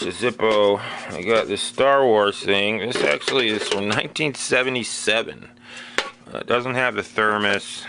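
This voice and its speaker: A man talking casually and unscripted as a vlogger, listing items in a plainspoken, matter-of-fact way with pauses. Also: fairly low pitch, noisy background. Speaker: vlogger showing storage auction finds. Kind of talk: casual unscripted narration to camera.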